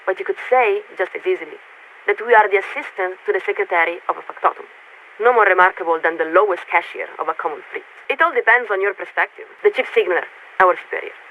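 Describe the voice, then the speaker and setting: A woman playing a polite, guarded young warship radio signaler in a video game, speaking in formal, measured official diction through a radio filter. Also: medium pitch. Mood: composed, official. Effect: radio transmission filter. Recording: tinny narrowband radio with static noise.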